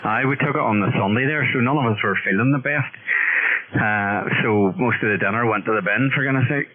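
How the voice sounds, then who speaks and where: A man's voice: medium pitch, fairly quick, crowd noise. A man chatting casually and colloquially over a radio link. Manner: colloquial, matey. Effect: radio transmission. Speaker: radio operator. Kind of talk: casual chat. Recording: dull, crackly CB radio signal.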